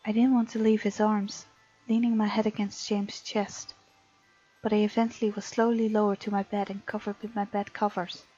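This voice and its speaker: A woman's voice: medium pitch, little pitch movement, normal speed, warm tone. A woman hosting a game playthrough, reading visual novel narration aloud and adding her own commentary. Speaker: game let's-play host. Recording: dull sound, music behind. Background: game music.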